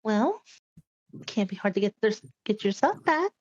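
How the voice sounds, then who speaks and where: A woman's voice: medium pitch, big pitch swings, moderate pace. A woman chatting casually as a player in a tabletop game, sometimes speaking as her character, on a slightly lo-fi mic.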